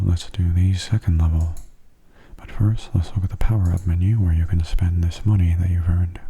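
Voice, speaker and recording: Very soft, husky low-pitched man. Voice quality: soft, breathy, often near a whisper. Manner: gentle, unhurried, explanatory. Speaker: video game commentator. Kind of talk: soft-spoken ASMR gameplay commentary.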